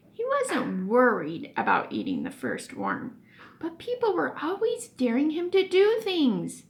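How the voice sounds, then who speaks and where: Woman, teacher, children's read-aloud, high voice, heavy creak, expressive, deliberate pauses. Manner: expressive, pausing for emphasis. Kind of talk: children's story read-aloud with word explanations.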